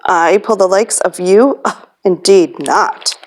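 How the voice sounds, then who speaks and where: A woman's voice: mid-range voice, slow pace, close to an everyday voice. Lady doing a stuck-up boy train engine from a kids book, haughty, braggy. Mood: scornful, boastful. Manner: haughty, boastful, snorting. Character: snooty shiny new passenger train engine, male.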